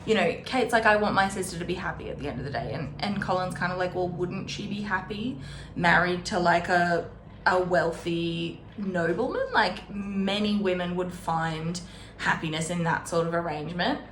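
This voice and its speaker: A woman's voice: on the low side, moderate pace, fairly bright tone. A woman hosting a casual, chatty book readalong, retelling the story informally and paraphrasing dialogue in a conversational way. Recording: roomy echo with faint background noise.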